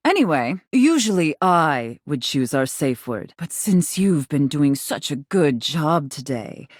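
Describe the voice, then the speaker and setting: A haughty, seductive mistress from a video game, speaks in a low, slow voice with sweeping intonation. She is commanding and condescending, teasing as she gives orders. The recording is close and dry. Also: big pitch swings, dry recording, heavily acted. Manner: haughty, sultry, condescending, drawn-out deliberate phrasing. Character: imperious, teasing dominatrix, a living safe in human guise. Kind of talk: video game character acting.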